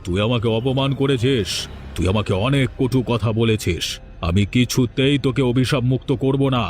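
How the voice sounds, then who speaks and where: A man's voice: medium pitch, moderate pace, a little mellow, soft background music, lightly acted. A man playing a stern, proud holy man who hands down curses in a moral-tale cartoon, speaking in solemn, scolding pronouncements. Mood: stern, haughty.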